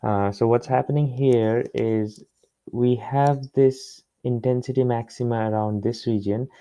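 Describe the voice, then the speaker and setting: Slow, very mellow male tutor. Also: mid-range voice, steady tone, smooth, no room sound. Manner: halting, with trailing pauses and repeats. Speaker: physics tutor. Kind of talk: explaining a worked problem step by step. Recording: dull, muffled lo-fi close recording.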